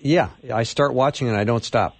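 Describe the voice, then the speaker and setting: A man hosting an interview, eager and quick with short affirmations. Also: mid-range voice. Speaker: radio host.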